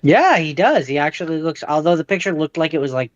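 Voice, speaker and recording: A man's voice: a little high, lively intonation, quick pace, no room sound. A man co-hosting a podcast in casual banter, recorded with slightly dull, lo-fi sound. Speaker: podcast co-host.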